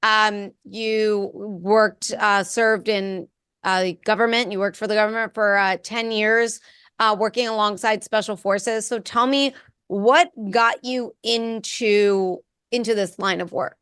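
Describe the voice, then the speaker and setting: A woman's voice: mid-range voice, fairly even intonation, unhurried, a bit bright, smooth, dry recording, studio quality. A woman hosting a podcast, reading a guest introduction and then asking questions with an eager, filler-laden manner. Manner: enthusiastic, many um and uh fillers when asking. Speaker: podcast host. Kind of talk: scripted intro then casual chat.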